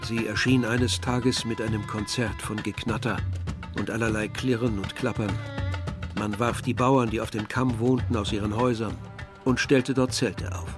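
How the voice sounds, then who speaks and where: A man's voice: lowish voice, steady tone, normal speed, lightly acted. An actor as a grave, reflective male narrator. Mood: measured, grave. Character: reflective male villager recalling war and his brother. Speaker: narrator of a radio drama. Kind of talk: literary scripted narration. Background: music.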